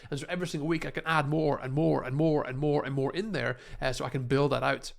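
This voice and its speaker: A man's voice: a little high, fairly even intonation, quick pace, creaky. A man in his thirties with a slight cold that makes him a bit nasal, coaching viewers casually in a home office with a desk microphone, talking with many fillers and restarts. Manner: repetitive, lots of fillers and restarts, addresses viewers as guys. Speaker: online business coach. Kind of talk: casual screen-share tutorial. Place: small home office room. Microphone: desk microphone in front of him.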